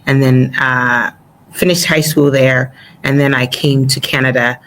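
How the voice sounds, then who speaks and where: A woman in her forties, born in Ghana, chatting as an interview guest in a relaxed way, with easy laughter and plenty of fillers. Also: a bass voice, a little slow, low-quality recording. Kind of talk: casual interview talk.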